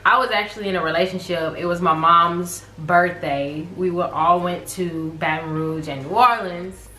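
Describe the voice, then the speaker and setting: A woman's voice: lowish voice, normal speed, sharp tone, echoey room, a little hiss or hum, rough recording. A woman, co-hosting a casual story time vlog in a chatty, teasing way. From Texas, USA. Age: 20s. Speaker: vlog co-host.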